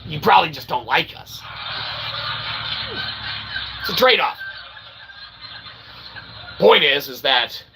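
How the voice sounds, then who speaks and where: A man's voice: on the high side, sing-song, slow pace, pauses often, background noise. A man hosting a livestream, rambling through a monologue and checking in with "okay?" and "all right". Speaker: livestream host.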